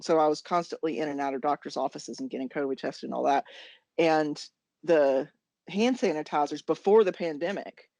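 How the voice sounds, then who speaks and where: A woman's voice: low-pitched, normal speed, dark tone, no room sound. An American woman chatting casually as co-host of a knitting podcast.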